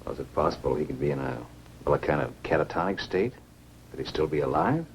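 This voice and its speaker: Concerned space base man in old 50s sci-fi, talks fast, lively swings, noisy track. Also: rumbling low. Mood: puzzled. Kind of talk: 1950s science fiction film acting. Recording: noisy old film soundtrack.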